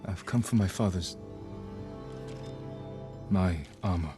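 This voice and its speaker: A man playing a restrained, respectful young samurai nobleman in a video game, speaking in a measured, grave, understated way. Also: deep voice, over music. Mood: gentle, reserved. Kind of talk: cinematic video game acting.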